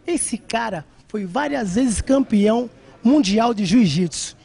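A man's voice: sits high, animated, slow, creaky, dark tone, breathy edge. A man hosting a sports show, upbeat and chatty, interviewing a fighter with a handheld mic in a gym. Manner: upbeat, addresses viewers as "rapaziada". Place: martial arts gym, indoors.

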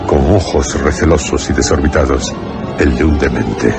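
A man narrating a radio horror drama from a script, with grave, deliberate phrasing and dramatic pauses. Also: a bass voice, loud music behind the voice.

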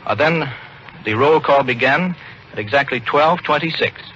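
An American male radio correspondent reports live in a bright, forward voice. His pitch is middling. The sound is a dull 1940s broadcast with faint noise. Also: moderate pace.

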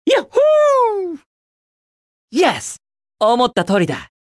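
A man's voice: very high voice, wildly swooping pitch, takes it slowly, dry recording, clean studio sound, full character voice. A man voicing a cocky, carefree young hedgehog hero in a video game, with breezy, confident, slangy delivery. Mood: excited, eager.

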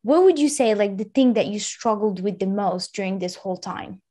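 A woman's voice: mid-range voice, animated, normal speed, dark tone. A young woman hosts an exam-prep interview, speaking into a desk microphone in a small room. She sounds upbeat and encouraging as she introduces her guest and asks him questions. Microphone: desk microphone in frame.

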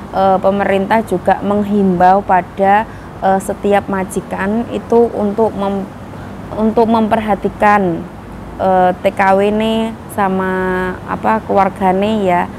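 A woman from Indonesia, hoarse from a cough, chatting casually to her viewers in a vlog, full of fillers. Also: mid-range voice, little pitch movement, a little slow, noisy background. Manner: chatty, many fillers, addresses viewers as guys. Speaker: vlogger giving news to migrant workers. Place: outdoors in Taiwan.

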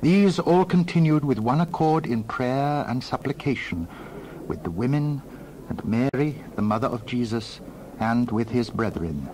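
A man narrating a dramatized Bible reading in a solemn, measured way. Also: medium pitch, animated, darkish tone, a little hiss or hum, low-quality recording.

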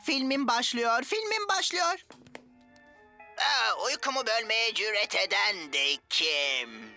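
A high, bright-voiced cartoon boy, excitable and mischievous. He talks in a theatrical, boastful way, like a young showman. Music plays softly behind him. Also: takes it slowly, exaggerated voice. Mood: excited, showy. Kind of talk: dubbed children's cartoon acting.

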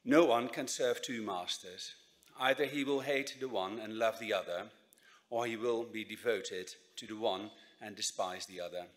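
A man reading a Bible passage aloud in church, slow and measured. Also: lowish voice, long reverb tail. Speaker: scripture reader. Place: church sanctuary.

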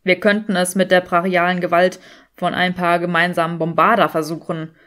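A woman's voice: fairly low pitch, little pitch movement, moderate pace, fairly bright tone, natural voice. Woman putting on a terse, irritable young wizard. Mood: calculating.

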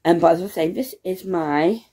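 A young woman, a student, chatting casually to her phone camera at home, her voice croaky from hay fever and her talk rambling and excitable. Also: on the low side. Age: young adult. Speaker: student vlogger. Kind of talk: casual vlog chat. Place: home, indoors. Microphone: phone camera at arm's length.

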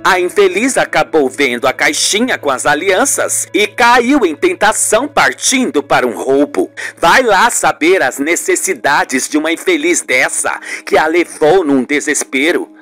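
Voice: mid-range voice, animated, measured pace, hardly any pauses, fairly bright tone, faint music behind. A performer voicing a haughty, insistent older mother in a radio soap, with a melodramatic, scolding manner. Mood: insinuating. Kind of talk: radio soap opera acting.

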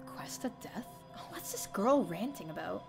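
A woman's voice: medium pitch, animated, moderate pace, creaky. A young adult woman streamer reading a visual novel aloud as dramatic first-person narration. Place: indoor streaming room. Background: faint game music.

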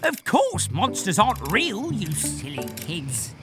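A grumpy, blustering old forklift in a children's cartoon. His delivery is slow and wildly swooping, with old-fashioned fussiness. Loud music plays behind him. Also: sits high, wildly swooping pitch, heavily acted. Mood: scolding. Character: grumpy older male purple forklift.

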